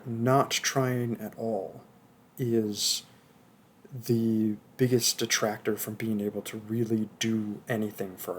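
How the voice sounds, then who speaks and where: A soft-spoken man, an audio roleplay performer, talking casually and encouragingly to his listeners. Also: medium pitch, slight background noise. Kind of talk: casual outro addressed to listeners.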